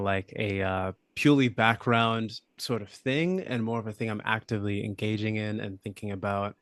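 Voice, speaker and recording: A young man from Australia, a podcast guest, talking in a relaxed, thoughtful way with lots of fillers and hedges. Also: lowish voice, clear, resonant tone, no echo, studio quality. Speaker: podcast guest, app founder. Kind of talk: casual interview conversation.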